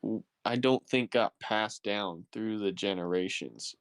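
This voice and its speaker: A man hosts a podcast in a casual, chatty style. His voice is smooth and clean, middling in pitch, and he takes his time. The sound is close and dry. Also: a little slow, no room sound. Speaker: podcast host. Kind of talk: casual chat.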